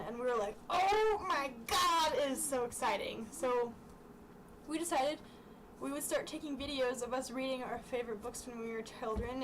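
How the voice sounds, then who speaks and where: A young woman reading aloud in a casual, chatty way, breaking off into giggly asides. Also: on the high side. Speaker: amateur vlogger reading childhood books aloud. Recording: distant mic with clear background noise.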